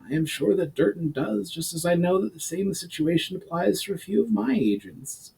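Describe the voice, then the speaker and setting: A man voicing a stern, seasoned duke in a storybook reading, speaking in a measured, formal, lordly way. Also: sits high, expressive, gravelly, mellow, slightly rough, pitched above the performer's own voice, heavily acted, low-quality recording. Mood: measured, guarded. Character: stern, weathered duke, seasoned political player.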